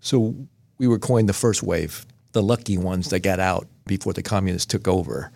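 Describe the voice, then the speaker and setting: A man in his fifties, originally from Vietnam, answering as a podcast guest in a measured, earnest way. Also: deep voice, fairly even intonation, vocal fry, darkish tone, no echo. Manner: measured, earnest, pauses between phrases. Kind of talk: reflective interview answers.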